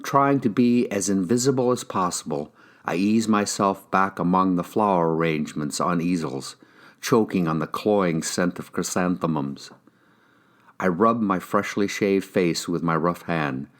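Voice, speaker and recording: A man's voice: sits low, unhurried. A man with an American accent reading first-person crime fiction aloud as a scripted narration. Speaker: audiobook reader, actor.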